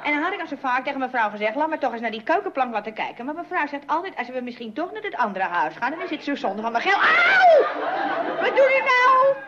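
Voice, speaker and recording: High-pitched nervous housemaid. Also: female voice, very animated, fast talker, over a noisy crowd. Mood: frightened, pained. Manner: hesitant, folksy, deferential. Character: nervous, fearful, chattering working-class housemaid. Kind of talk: old radio comedy acting. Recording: muffled, noisy old radio recording.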